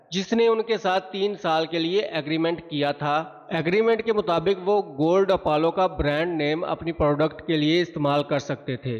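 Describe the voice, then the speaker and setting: A man with a somewhat high-set voice narrates a scripted explainer. His delivery is formal and measured, like a documentary. The recording carries a long reverb and sounds slightly muffled.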